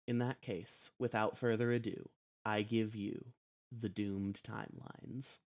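A man's voice: fairly low pitch, fairly even intonation, measured pace. Man introducing a podcast episode. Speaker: podcast host.

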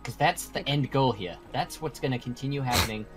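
A man's voice: medium pitch, brisk, lightly acted. An earnest mortal mediator from a game roleplay acted by a man. He speaks in a medium register, persuasive and persistent. Music runs behind the voice. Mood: frustrated, grave. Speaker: roleplay actor. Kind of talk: improvised Minecraft supernatural roleplay.

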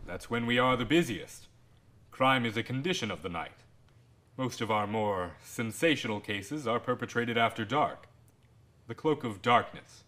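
An actor in a radio drama playing a courteous police inspector who speaks in a formal, measured way. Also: male voice, on the low side, a bit bright.

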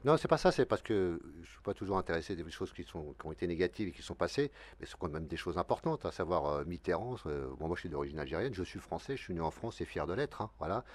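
Fast-talking deep-voiced man in his fifties. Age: 50s. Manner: rambling, animated, many restarts. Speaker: local election candidate. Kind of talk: televised debate. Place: dark TV studio, seated at a desk. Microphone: desk mic.

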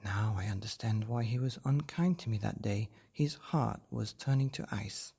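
A man's voice: on the low side, normal speed, vocal fry, mellow, husky, full character voice. A man voicing an earnest little girl searching for her friend in a storybook reading.

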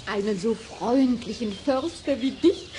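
A woman plays a gloating little witch from an old children's radio play. She speaks high and slowly, mock-sweet and commanding, with a cackle never far away. The recording is clearly noisy. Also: close to an everyday voice.

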